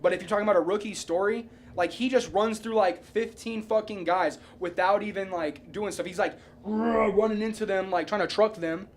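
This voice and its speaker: A man's voice: high voice, moderate pace, hardly any pauses, slight background noise. A man in a casual podcast debate, talking emphatically with repeated restarts and frequent swearing. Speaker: podcast co-host. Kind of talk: casual argumentative chat about movies.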